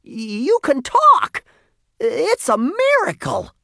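A man voicing an excitable, artistic young boy in a storybook. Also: very high-pitched, drawn-out words, vocal fry, dry recording, studio quality, voice pushed up, full character voice. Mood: astonished.

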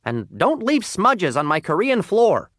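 A man's voice: high-pitched, very animated, a little slow, a bit bright, dry recording, studio quality, pitched above the performer's own voice, heavily acted. A man voicing a rude, scowling, dismissive female restaurant owner in a storybook. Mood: indignant.

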